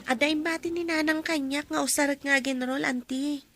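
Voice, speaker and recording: An actress playing a gentle, dutiful young student daughter in an Ilocano radio drama. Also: female voice, high voice, steady tone, brisk, faint music behind. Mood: affectionate.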